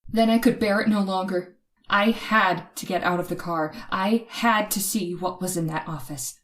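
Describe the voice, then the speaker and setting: Radio horror heroine, played by a woman: fearful, dramatic, suspenseful, mid-pitched, slight background noise. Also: moderate pace, close to an everyday voice. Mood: tense, ominous. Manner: dramatic, breathy gasps and hesitant stammers when afraid. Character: frightened young woman motorist, tense, narrating her terror. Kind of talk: old-time radio horror drama acting, suspenseful narration. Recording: noisy recording with ambient effects.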